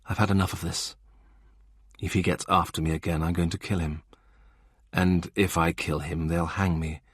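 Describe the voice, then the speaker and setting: A man's voice: low voice, flat delivery, normal speed, slightly rough, no room sound, clean studio sound. A man voicing a grim, battered young man.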